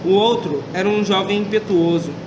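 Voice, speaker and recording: Brazilian guy narrating a school radio play, reads it out carefully, voice pretty high, faint hiss. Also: moderate pace. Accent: Brazilian Portuguese. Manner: careful read-aloud delivery. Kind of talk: reading narration in a student radio drama.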